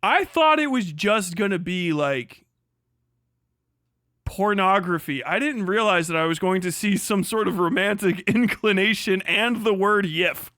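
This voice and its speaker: A young man streams from home, reacting to what is on his screen with sardonic, profane disbelief and frequent laughter. His voice sits a little high and sounds bright and forceful. The sound is close and dry. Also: measured pace, no room sound. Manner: sardonic, swearing, laughing often, incredulous outbursts. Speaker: streamer host. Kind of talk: casual live-stream commentary.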